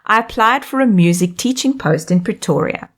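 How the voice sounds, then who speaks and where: A South African woman in her thirties, a life coach hosting her own podcast, reading a scripted monologue in an upbeat, expressive way. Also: mid-range voice, normal speed.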